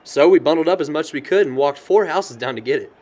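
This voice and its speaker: A man's voice: a little high, fast talker, lightly acted. A young man with an American accent playing a sentimental, good-natured guy in an audio drama. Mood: fond, nostalgic. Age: young adult. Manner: chuckles often, animated storyteller. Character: cheerful young man, sentimental but worried about his sister.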